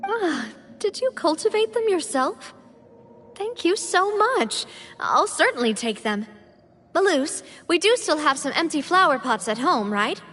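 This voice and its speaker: High-voiced, courteous young lady leader. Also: unhurried, slight background noise, heavily acted. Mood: gracious. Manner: polite, expressive, questioning. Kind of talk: scripted video game voice acting. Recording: long hall reverb, music behind.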